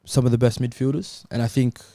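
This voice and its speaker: A man's voice: mid-range voice, little pitch movement, brisk, mellow, no room sound, studio quality. A man chatting casually as a football panellist, explaining his view in a tentative, hedging way. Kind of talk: casual chat.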